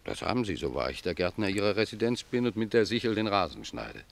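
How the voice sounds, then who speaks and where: A man's voice: lowish voice, sing-song, vocal fry, no room sound. A man playing a humble, pious old gardener in a German radio drama, speaking reflectively and with deference to his superior. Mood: deferential. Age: older. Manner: reflective, deferential, unhurried phrasing.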